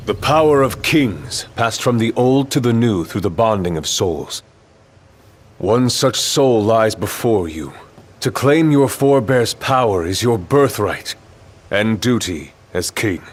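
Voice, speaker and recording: An actor playing a stern, dutiful middle-aged royal marshal with solemn, formal delivery in a game cutscene. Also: male voice, on the low side, animated, a little slow, husky. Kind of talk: video game cutscene acting.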